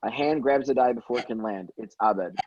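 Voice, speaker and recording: Mellow, muffled man reading stage directions. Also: medium pitch, a little fast, no room sound. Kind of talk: scripted narration, screenplay read aloud. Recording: dull lo-fi audio.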